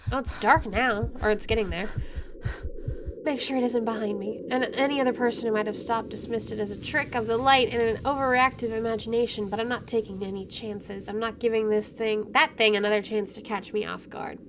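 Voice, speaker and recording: A woman's voice: on the high side, fairly even intonation, brisk, fairly bright tone, slight background noise. A woman hosting a gaming playthrough, reading the story text aloud dramatically and adding playful, joking asides. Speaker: gaming video host.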